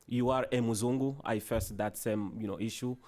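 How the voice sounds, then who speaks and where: A man whose first language is Swahili gives a conference presentation with slides, in a halting way full of restarts and "you know" fillers. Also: medium pitch, fairly even intonation, a little mellow. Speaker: conference panelist. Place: conference hall.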